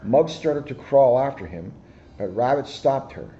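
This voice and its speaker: A man reading a children's picture book aloud at an average pitch, easygoing and lively. The sound is dull and lo-fi, with a faint hiss behind it. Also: a little slow. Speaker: police officer. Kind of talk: storybook read-aloud.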